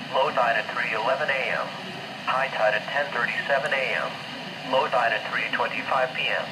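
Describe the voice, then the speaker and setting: A computerized man's voice reads a scripted marine weather forecast as a radio announcer, in a monotone, clipped recitation. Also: mid-range voice. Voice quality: synthetic computerized voice. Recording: very noisy static-filled weather radio broadcast.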